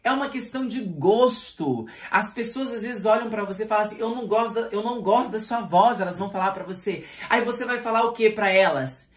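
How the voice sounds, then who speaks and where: A man teaching an online voice lesson, talking straight to his viewers with lively rhetorical questions. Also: high voice, fairly quick, few pauses. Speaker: singing teacher. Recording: roomy echo.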